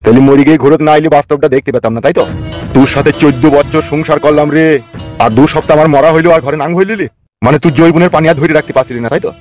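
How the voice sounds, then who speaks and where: Guy doing a angry crooked politician gangster, talks super fast, crude scolding cartoon voice, bit high. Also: steady tone, a little mellow, faint music behind, lightly acted. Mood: bitter. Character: furious foul-mouthed gangster politician back from feigned death. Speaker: cartoon voice actor. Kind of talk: amateur comedy cartoon dialogue.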